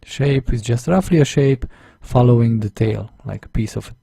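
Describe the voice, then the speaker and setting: A man's voice: mid-range voice, normal speed, gravelly, dark tone, husky, no echo, clean studio sound. A man with a Hungarian accent, a non-native English speaker, narrating a drawing tutorial over his screen, thinking aloud step by step in a chatty, self-deprecating way. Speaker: illustration instructor.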